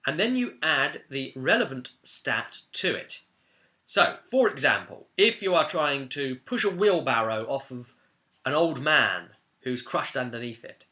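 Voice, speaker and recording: Man with a very bright, forceful voice. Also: mid-range voice. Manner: enthusiastic, conversational. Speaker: presenter of a tabletop game guide. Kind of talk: informal explainer of game rules.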